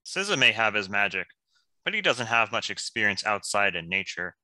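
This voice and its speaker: A man playing an upbeat, earnest archer who motivates his team, in an animated fantasy table read. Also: on the low side, moderate pace, dry recording, heavily acted. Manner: encouraging, chipper.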